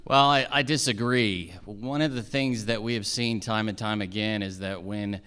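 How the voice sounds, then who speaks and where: A man's voice: lowish voice, fairly even intonation, moderate pace, fairly bright tone, smooth. An American man delivering a firm political speech as a judicial candidate, building points through rhetorical repetition.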